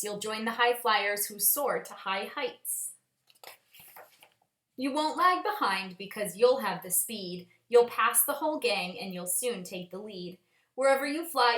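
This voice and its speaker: Female storybook reader, very lively intonation, dramatic sing-song delivery, recorded in an echoey room. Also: a little high. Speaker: teacher reading aloud. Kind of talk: expressive picture-book read-aloud.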